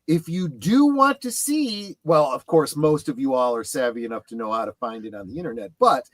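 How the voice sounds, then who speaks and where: A man hosting a casual panel discussion on his channel, halting and emphatic as he thinks aloud. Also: medium pitch, expressive, no room sound, studio quality. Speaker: host of a pop culture streaming channel.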